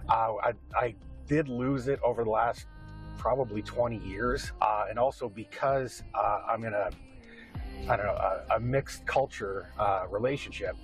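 A man's voice: fairly low pitch, moderate pace, faint music behind. Earnest middle-aged Canadian man. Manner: earnest, rambling storyteller. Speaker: interviewee. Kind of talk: casual conversational interview.

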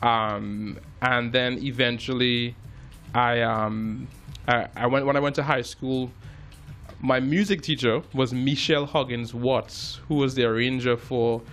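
A man's voice: medium pitch, unhurried, soft background music. A man in his thirties from Trinidad and Tobago, a jazz musician telling stories in a casual interview with many fillers and restarts. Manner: relaxed storytelling with frequent fillers and restarts. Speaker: jazz musician and filmmaker, guest.

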